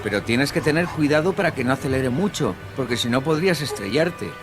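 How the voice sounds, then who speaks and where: An actor with a Castilian accent playing a calm, manipulative young killer in a radio drama, coaxing and falsely reassuring. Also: male voice, medium pitch, brisk, natural voice. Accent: Castilian Spanish. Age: young adult. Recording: music bed under the voice.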